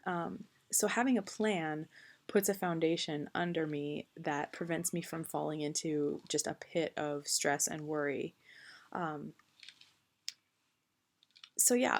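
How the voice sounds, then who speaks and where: A woman in her late thirties speaks in a casual, reflective vlog, pausing often with ums. Her voice sits fairly low and carries a noticeable gravelly fry. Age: 30s. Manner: earnest and reflective, frequent ums. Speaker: vlogger. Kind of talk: casual talk to camera.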